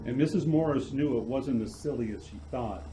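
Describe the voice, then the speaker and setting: Male narrator for suspense radio play, dark warm tone, deliberate and dramatic, faint music underneath. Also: medium pitch, poor audio.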